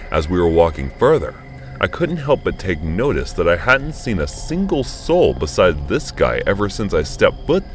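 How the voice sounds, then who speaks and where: A man narrating a first-person horror story in a studio voice-over, jumping up when indignant and going low and hushed in tense moments, over background music. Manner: expressive, pitch jumps up on indignant lines, drops low and quiet in tense moments.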